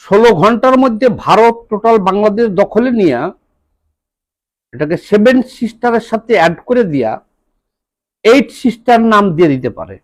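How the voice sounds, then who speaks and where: A man from Bangladesh with a Bangladeshi accent, giving a political monologue in deliberate, halting phrases. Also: high-pitched, very animated. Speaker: political commentator.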